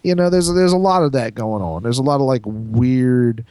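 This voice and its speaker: A man co-hosting a podcast, talking in a halting, rambling, profane way with crude opinions. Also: mid-range voice, a little mellow, smooth, no echo. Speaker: podcast co-host. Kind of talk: casual, crude opinionated group banter.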